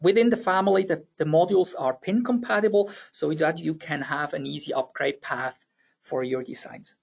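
Swiss German male presenter, gravelly husky voice, patient technical explainer. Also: a little high. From Switzerland. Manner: explanatory, slightly halting with fillers and restarts. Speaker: webinar presenter.

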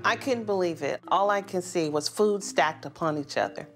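A woman's voice: on the low side, normal speed. A middle-aged woman in a reality TV interview, wryly complaining about her husband's tight budget. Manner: exasperated, wry complaining. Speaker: reality show subject, wife. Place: indoors, home living room. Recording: background music.

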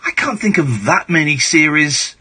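Male radio host, British, higher-pitched and very animated, husky edge, casual rambling late-night interviewer. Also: moderate pace. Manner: rambling, repeats phrases, reactive. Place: radio studio.

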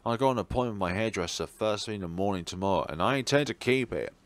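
A man acts out an optimistic teenage schoolboy, the caring best friend in a visual novel. He speaks earnestly, with very animated pitch. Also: fast talker, close to an everyday voice. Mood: cheerful, rallying.

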